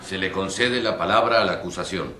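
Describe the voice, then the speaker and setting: An actor playing a stern, measured judge, formal and authoritative, in a radio drama. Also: male voice, sits low, over music, lightly acted. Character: stern, measured male judge presiding over a trial. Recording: echoey, noisy courtroom-like sound.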